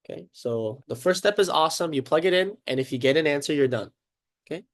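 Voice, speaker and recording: A man's voice: on the high side, expressive, fast. Congested man teaching a casual math lesson. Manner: upbeat, chatty, checks in often with "okay" and "right?". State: congested, sniffling.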